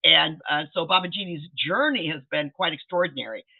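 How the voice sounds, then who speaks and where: A man's voice: a little high, lively intonation, brisk, gravelly. A man moderating an online panel, upbeat and enthusiastic as he introduces the speakers. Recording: tinny video-call audio.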